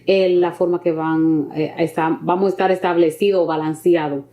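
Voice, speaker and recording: Woman talk show host, dark mellow voice, unscripted, kinda halting delivery. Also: lowish voice, fairly even intonation. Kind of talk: unscripted interviewing.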